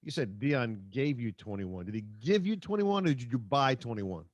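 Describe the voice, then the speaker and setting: A man hosting casual sports talk, blunt and quick to interject with questions to his co-host. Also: mid-range voice, big pitch swings, dry recording.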